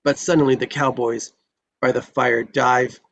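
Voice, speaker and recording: A man's voice: medium pitch, level tone, measured pace, fairly bright tone. A man reading screenplay stage directions in a dramatic narrating style at a table read.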